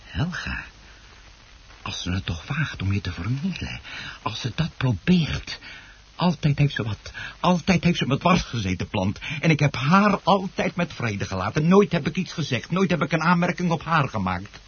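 Husky, obsessive, unhinged plant grower. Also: male voice, fairly high pitch, very animated, normal speed, full character voice. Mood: bitter, possessive. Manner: muttering, repetitive, swinging from hushed to outbursts. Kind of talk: radio drama acting. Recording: dull, muffled old radio play recording.

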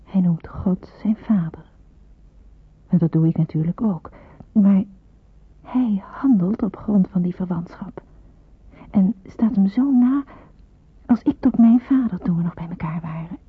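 Hushed, husky, pensive young woman. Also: medium pitch. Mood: wondering, fervent. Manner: hushed, slow, breathy reflection. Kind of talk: radio drama monologue, diary-like narration. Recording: muffled roomy phone-like old radio recording.

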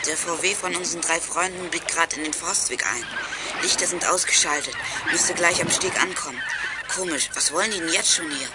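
A male actor playing a daring, eager boy lookout in a children's radio play, calling in briskly by walkie-talkie. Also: fairly high pitch, heavy background noise, lightly acted. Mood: reporting, puzzled.

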